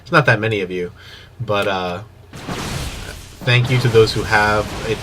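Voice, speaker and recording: A man with a stuffy, sniffly nose giving excitable play-by-play commentary on a strategy game replay, with casual asides, on an old microphone. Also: on the low side, measured pace, background noise. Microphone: old microphone he wants replaced.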